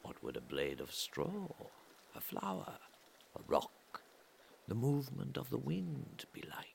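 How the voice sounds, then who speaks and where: A man reading an atmospheric literary story in a measured way, pausing between short phrases, with a gravelly voice that often turns breathy and trails off. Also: mid-range voice, wildly swooping pitch, slight background noise. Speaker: reader of a literary audio story. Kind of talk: slow atmospheric literary narration.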